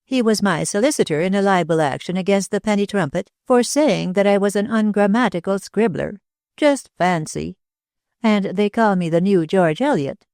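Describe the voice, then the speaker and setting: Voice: mid-range voice, normal speed, darkish tone, smooth, no echo, clean studio sound, voice pushed up, lightly acted. A reader voicing a middle-aged society hostess who gushes and babbles with shallow enthusiasm. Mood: gushing. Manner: effusive, babbling, emphatic. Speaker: literary hostess.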